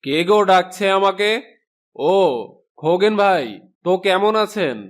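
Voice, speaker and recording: A man's voice: sits high, a little slow. A man playing an affable, confident village schoolmaster in a folk-tale cartoon, speaking reassuringly.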